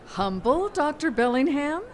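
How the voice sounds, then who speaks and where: A woman's voice: sits high, sing-song, a little slow. A woman playing a wary, easily affronted wife in a live radio comedy-horror, questioning and exclamatory. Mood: gracious. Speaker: actor. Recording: live stage recording, background noise.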